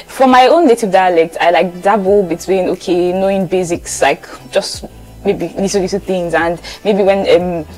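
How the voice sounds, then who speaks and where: A woman's voice: lowish voice, normal speed, a little mellow, faint music behind, low-quality recording. A young woman from Lagos with a Nigerian accent, chatting casually to viewers and repeating phrases for emphasis. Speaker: vlogger.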